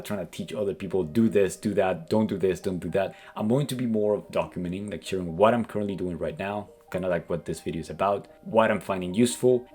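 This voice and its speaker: A man's voice: on the low side, mellow. A man from South America with a South American accent, earnestly explaining his plans in a casual vlog, peppered with "like" and "kinda". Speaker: YouTube creator and business coach. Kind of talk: casual talking-head vlog.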